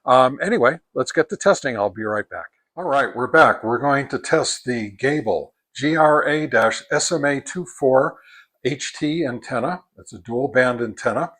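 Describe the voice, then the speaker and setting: An older American man giving a casual, unhurried product review, explaining things step by step. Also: medium pitch, a bit bright. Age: older, 60s or above.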